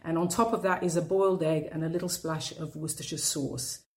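A woman from southeast with a slightly low voice. She gives an informal personal account as a listener contributor. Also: fairly even intonation, fairly quick, a little mellow. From southeast London, UK. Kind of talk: informal recorded personal message.

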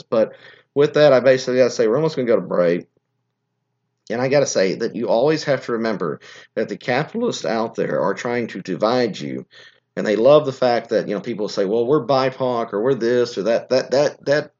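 A hoarse, scratchy-voiced man hosts a political podcast, holding forth in a rambling, opinionated monologue that keeps stopping and restarting. His pitch sits at an ordinary middle level. The recording sounds slightly muffled and lo-fi. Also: darkish tone. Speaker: podcast host.

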